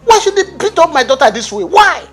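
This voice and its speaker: A woman's voice: medium pitch, very animated, normal speed, a bit bright, soft background music. An actress playing an angry mother raging at her daughter's abusive husband. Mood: indignant. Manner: angry, indignant. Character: furious mother of the beaten daughter.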